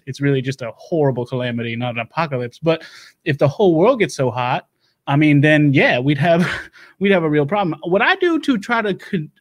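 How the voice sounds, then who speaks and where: A man's voice: on the high side, natural voice. A man playing Lester, a manic doomsday prepper. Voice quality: rapid, stumbling, loud bursts, drawling asides. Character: rambling Louisiana doomsday-prepper man, manic, self-assured. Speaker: livestream show host. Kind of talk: improv character comedy.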